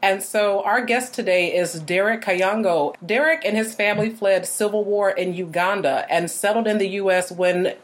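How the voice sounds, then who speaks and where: A woman's voice: mid-range voice, moderate pace, hardly any pauses. A woman hosts a podcast with an upbeat, polished delivery, giving her words a lilting lift. Her voice sits in an ordinary middle range. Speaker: podcast host. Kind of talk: podcast interview hosting.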